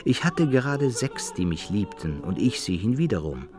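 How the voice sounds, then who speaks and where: A boastful, smug young ladies' man tells his story in a radio play. His voice is low, with classical music playing behind it. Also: sing-song, moderate pace. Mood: smug, amorous. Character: boastful young rogue recounting his love conquests. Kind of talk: literary radio drama narration in first person. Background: opera-like music.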